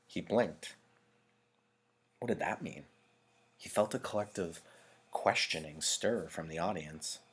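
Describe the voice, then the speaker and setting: A man reading a novel aloud in an expressive narrating style, like a teacher to a class. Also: low voice, takes it slowly, pauses often, slightly rough.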